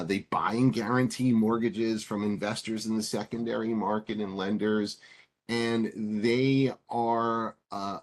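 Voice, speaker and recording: A man giving a webinar talk on mortgage lending for condos, speaking in a chatty way with frequent fillers and stammered restarts over a video call. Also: on the low side. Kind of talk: presentation in a web seminar.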